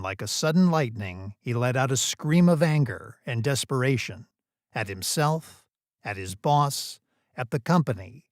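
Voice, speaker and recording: A man's voice: medium pitch, no echo. Man narrating a story, very dry sound.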